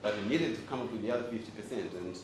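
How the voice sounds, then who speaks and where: Man from Zambia, forties, deeper voice, playful speaker quizzing audience, roomy echo, background noise. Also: racing. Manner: joking and engaging, quizzes the crowd. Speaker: host and speaker. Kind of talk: live talk to an audience. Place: event room with audience. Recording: echoey room, audible noise.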